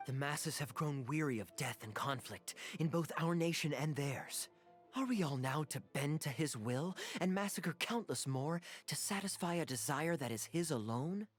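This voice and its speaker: A man's voice: a little high, animated, natural voice. Man acting a suave, grandiose young prince, music behind. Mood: solemn, anguished. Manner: grandiose, measured, dramatic pauses. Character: suave young swordsman acting a noble tragic stage prince. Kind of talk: theatrical stage-play declamation in a fantasy video game.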